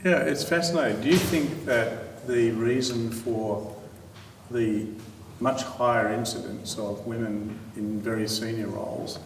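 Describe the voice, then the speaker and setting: A man moderating a panel discussion, asking thoughtful, measured questions. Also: mid-range voice, fairly even intonation, a little hiss or hum. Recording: echoey hall, distant mic.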